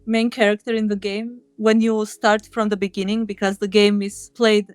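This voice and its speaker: A woman's voice: mid-range voice, little pitch movement, moderate pace, clean tone, no echo. A woman speaking as an indie game developer in an interview, explaining step by step in conversational language.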